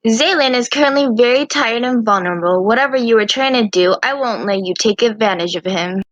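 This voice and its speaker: A woman's voice: fairly high pitch, brisk, no echo, muffled recording, lightly acted. A woman voicing a protective, serious girl with a formal, firm manner in a visual novel fan dub. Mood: protective, stern. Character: protective, serious, formal girl guarding her sleeping friend.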